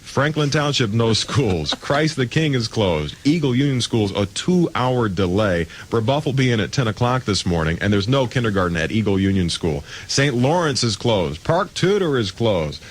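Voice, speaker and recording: A man's voice: medium pitch, big pitch swings, moderate pace, hardly any pauses, a little hiss or hum. An American man hosting a morning radio show, chatting and reading announcements live in a breezy, joking, informal way. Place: radio studio. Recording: radio aircheck with music underneath. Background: music.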